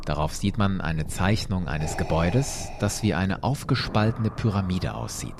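A man's voice: deep voice, normal speed, noisy background. A man narrating a scripted story dramatically, as a host retelling it for listeners.

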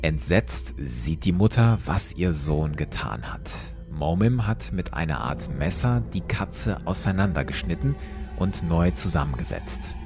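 A man's voice: low voice, very animated, a little slow, music in the background. A man narrating a scripted story dramatically, as a host retelling it for listeners.

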